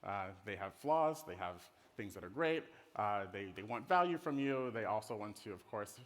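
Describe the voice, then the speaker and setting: A man's voice: on the low side, animated, moderate pace, a bit bright. A middle-aged man with an American accent giving an informal conference presentation in a casual, playful, conversational way. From Netherlands. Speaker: conference speaker, design director. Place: conference stage in a hall. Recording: reverberant hall.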